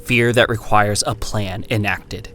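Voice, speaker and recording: A man voicing a grim, bitter first-person narrator in a dramatic horror reading, with measured, poetic pauses. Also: on the low side, steady tone, sharp tone. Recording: music behind the voice.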